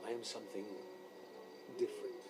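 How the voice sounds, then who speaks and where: An actor playing a mysterious, cryptic prophet who speaks in a solemn, measured way. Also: male voice, over loud music, close to an everyday voice. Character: mysterious cryptic male prophet, older human. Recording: loud hiss on captured game audio.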